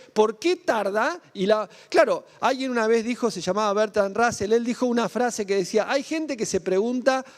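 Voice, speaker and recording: High-voiced Argentine pastor, conversational. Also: male voice, big-room sound. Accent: Argentine Spanish (Rioplatense). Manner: conversational, rhetorical questions, frequent "¿no?" tag. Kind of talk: sermon.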